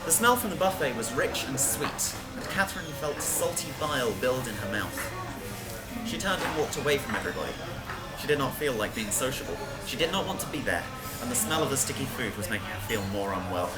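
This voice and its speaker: A woman's voice: rumbling low, lively intonation, a little fast, rough recording. A woman reading a scripted story aloud as a narrator, with music behind her. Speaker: reader.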